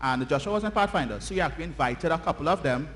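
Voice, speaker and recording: A man's voice: on the high side, lively intonation, quick pace, a bit bright. A Trinidadian man telling a story informally to a church congregation, conversational and checking in with his listeners using short tag questions. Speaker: church children's story teller. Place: church, speaking to children and congregation. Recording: noisy live church recording, often distant.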